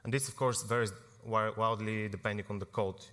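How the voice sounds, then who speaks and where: A man's voice: lowish voice, fairly even intonation, a little fast, fairly bright tone. A young man from Bulgaria giving a technical conference talk, informal, with frequent fillers and self-corrections. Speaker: conference speaker. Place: large conference hall. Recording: long hall reverb.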